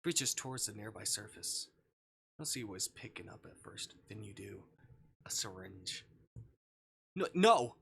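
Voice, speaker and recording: Male streamer, dramatic visual novel narration, bright sharp voice, occasional stumbles, slight background noise. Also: lowish voice, moderate pace, gravelly. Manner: stumbles over words and rereads them. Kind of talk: dramatic read-aloud of visual novel text on livestream.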